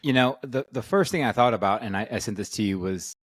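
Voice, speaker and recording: A man's voice: lowish voice, fast, husky, no room sound. A man who is a fitness coach, talking as a podcast guest in an earnest, reflective way, often restarting his sentences. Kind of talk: podcast discussion.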